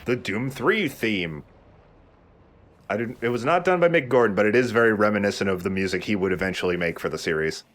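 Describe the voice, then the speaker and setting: Low-voiced man doing casual game commentary. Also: lively intonation, a little fast, fairly bright tone, slight background noise. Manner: conversational, joking, casually profane. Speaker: let's play host and player.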